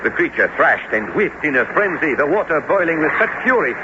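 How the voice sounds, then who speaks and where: An actor playing a learned, sober doctor and professor who recounts a sea voyage in formal, measured radio drama style. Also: male voice, on the high side, big pitch swings. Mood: urgent, dramatic. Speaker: narrator and character. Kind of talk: dramatic radio narration and acting. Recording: noisy, dull old radio drama recording.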